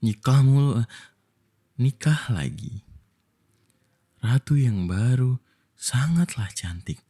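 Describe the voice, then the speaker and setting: A young Indonesian man speaks in a very dark, mellow, breathy voice, intimate and unhurried. He plays an affectionate boyfriend telling a bedtime story in soft ASMR style, with playful casual asides. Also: lowish voice.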